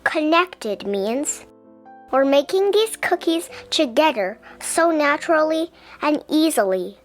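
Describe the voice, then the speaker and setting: A woman reading a children's picture book aloud in a gentle, deliberate, expressive way. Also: high-pitched, faint music behind. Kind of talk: children's storybook read-aloud.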